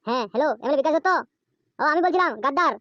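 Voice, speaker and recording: A woman voicing a whiny, crude, hot-headed male henchman in a comic cartoon, with a squeaky, wheedling voice. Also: a bit bright, dry recording, dull audio. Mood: cheeky. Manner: wheedling, complaining, fast-talking.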